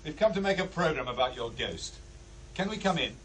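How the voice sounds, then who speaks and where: Eager ghost-show maker, media type, radio play, highish voice, lively intonation. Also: male voice, a little fast, far from the mic, a little hiss or hum, rough recording. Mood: keen. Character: keen male ghost-programme maker, eager to explain hauntings.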